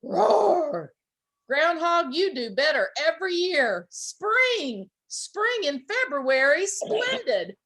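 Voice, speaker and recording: A woman's voice: extremely high pitch, very animated, slow, fairly bright tone, pitched above the performer's own voice, full character voice, rough recording. A woman voicing a big male bear who roars with delight, in a storybook reading.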